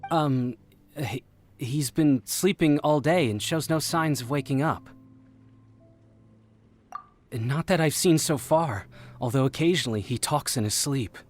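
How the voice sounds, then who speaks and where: A dutiful knight played by a man with a mid-range voice and slow pace. He sounds hesitant, a minor video game figure speaking over music. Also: mid-range voice, close to an everyday voice. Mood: uncertain. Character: dutiful male knight standing guard, hesitant. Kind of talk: animated video game acting. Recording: music under the voice.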